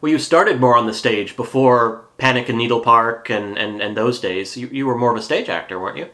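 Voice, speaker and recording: A man hosting a radio interview, asking short questions and giving quiet backchannels. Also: medium pitch, fairly quick.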